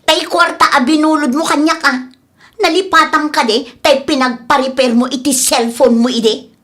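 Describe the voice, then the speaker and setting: A woman's voice: high voice, fairly even intonation, normal speed, fairly bright tone. A woman playing a scorned young woman in an Ilocano comedy drama, exclamatory and sarcastic in manner. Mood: indignant, scornful.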